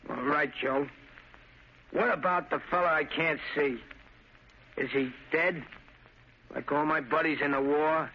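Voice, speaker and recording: A man playing a shell-shocked, simple-minded young war veteran in an old radio drama, childlike, obedient and earnest, with a creaky voice. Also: on the high side, steady tone, unhurried, pauses often. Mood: worried, confused. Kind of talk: 1930s radio drama acting. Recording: noisy, dull old radio recording.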